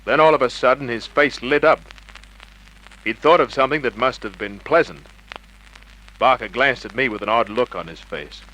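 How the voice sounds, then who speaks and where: Cool, wry male investigator, mid-pitched, crisp forceful edge, old radio crime serial. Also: normal speed, pauses often. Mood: cool, terse. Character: cool, wry private investigator who narrates his case. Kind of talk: hard-boiled radio narration and acting. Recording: noisy old radio drama recording.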